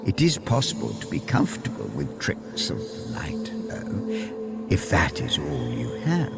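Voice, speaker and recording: An eerie ancient mind with no body, speaking slowly and very politely over prominent music. The voice is creaky and breathy, with big swoops in pitch. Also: male voice, huge pitch swings, full character voice. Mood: courteous. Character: ancient, courteous, eerie disembodied intelligence, softly apologetic. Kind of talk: radio drama acting.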